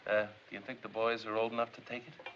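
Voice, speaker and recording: A man's voice: fairly low pitch, flat delivery, fast, a bit bright, natural voice. An actor playing a chummy, boastful middle-aged American man with a folksy, wisecracking way of talking, in a 1950s film. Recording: muffled, noisy old film soundtrack.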